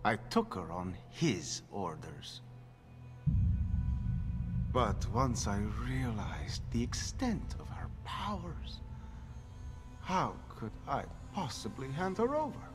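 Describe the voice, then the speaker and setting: A man's voice: mid-range voice, sing-song, slow pace, long pauses. An actor playing a vain, sadistic, refined artist villain in a video game, with a theatrical, pompous way of savoring his words. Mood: smug, grandiose. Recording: music behind the voice.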